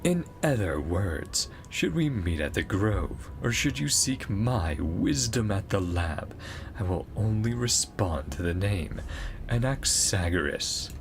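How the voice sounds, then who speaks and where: A man's voice: fairly low pitch, very animated, slow pace, slight background noise, exaggerated voice. A man voicing a pedantic, imperious male professor from a game, formal and exacting as he lectures. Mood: stern, exacting.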